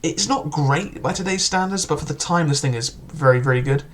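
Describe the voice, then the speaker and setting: A man's voice: a little high, fairly quick, a bit bright. A young man casually reviewing a book, chatty and loose, with frequent filler words and restarts.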